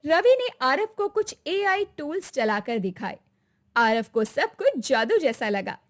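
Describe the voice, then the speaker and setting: A woman's voice: sits high, very animated, a little slow. A woman narrating a dramatic scripted story as the storyteller.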